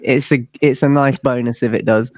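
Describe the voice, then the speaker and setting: A man's voice: medium pitch, steady tone, moderate pace, a little mellow, no room sound. A man with a southern English accent chatting casually as a musician being interviewed, rambling and self-deprecating. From southern England, UK. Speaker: interview guest, band musician. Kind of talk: casual chat.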